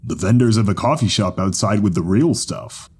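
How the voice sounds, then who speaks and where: A man voicing a polite, helpful male companion in an audio drama, speaking in a measured manner. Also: lowish voice, darkish tone, husky.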